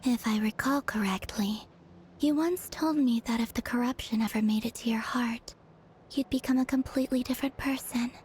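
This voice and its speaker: An actress voices a gentle young maiden in a fantasy video game. Her voice is fairly high, soft and breathy, and she speaks with calm, mysterious deliberation over faint background noise. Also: moderate pace, natural voice. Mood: calm, earnest. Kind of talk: dramatic video game voice acting.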